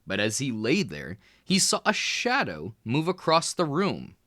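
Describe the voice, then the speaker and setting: Man reading a kids' story aloud, slow and bouncy, bright tone, dry sound. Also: mid-range voice, no echo. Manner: lively, expressive reading with small voice shifts for characters. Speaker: children's book reader. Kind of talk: read-aloud storybook narration.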